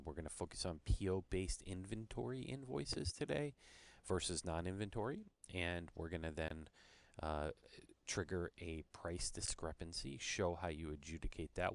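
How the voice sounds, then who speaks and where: A man's voice: low voice, gravelly. A man presenting an informal screen-share product demo, explaining things step by step.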